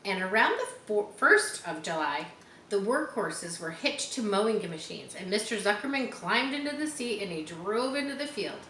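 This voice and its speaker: A woman reading a children's story aloud in a teacherly way, pausing to explain and chat to her listeners. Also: medium pitch, big pitch swings, fairly bright tone. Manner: teacherly, explains words and adds chatty asides. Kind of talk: children's audiobook reading with asides. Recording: roomy echo with faint background noise.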